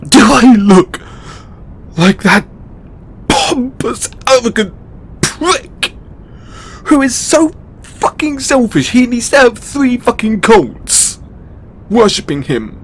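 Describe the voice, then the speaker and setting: An actor playing a distraught, overburdened god in an emotional audio roleplay, a man speaking tearfully and haltingly with exasperated swearing. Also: extremely high pitch, big pitch swings, slight background noise, heavily acted. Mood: exasperated, angry. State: crying. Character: distraught, overburdened male god venting to his devotee.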